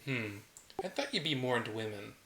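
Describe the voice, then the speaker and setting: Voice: mid-range voice, animated, fairly quick, pushed and bright, a little hiss or hum. Danny, an actor reading a playful friend. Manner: playful, jokey and upbeat. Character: Danny, a playful, teasing young woman friend. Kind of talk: table read.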